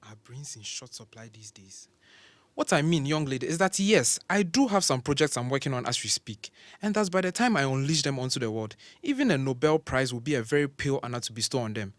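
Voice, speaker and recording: An actor playing an arrogant older surgeon professor in an audio drama, grandiose and condescending. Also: male voice, mid-range voice, lively intonation, fast talker, hardly any pauses, no room sound, close to an everyday voice.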